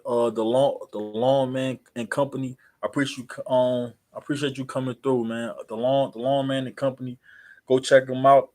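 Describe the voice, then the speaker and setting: Man, American accent, narrow pitch range, halting rambling speech, casual livestream host. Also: a little mellow. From New Jersey, USA. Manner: halting, repetitive, filler-heavy rambling.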